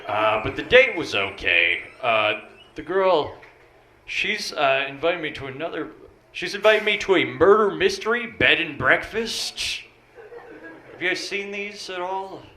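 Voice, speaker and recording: A man in his thirties with a deep voice, telling dry, understated stand-up one-liners on a bar stage. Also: very animated, measured pace, bright, forceful tone. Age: 30s. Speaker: stand-up comic. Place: small bar comedy stage. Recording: roomy bar with crowd noise. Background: audience laughter.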